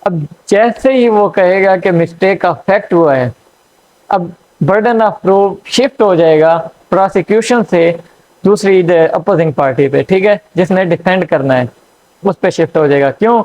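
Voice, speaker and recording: A man giving a law lecture, explaining slowly with frequent fillers and checks. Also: sits high, darkish tone. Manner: hesitant, frequent fillers and checks "theek hai". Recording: dull lo-fi recording.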